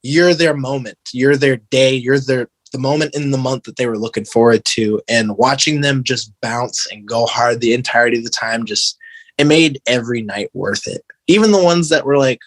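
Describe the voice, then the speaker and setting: A man's voice: mid-range voice, moderate pace, no echo, poor audio. An American man talking casually as an interview guest, earnest and informal, with slangy phrasing and lots of "like". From American Midwest. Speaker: podcast guest, band guitarist.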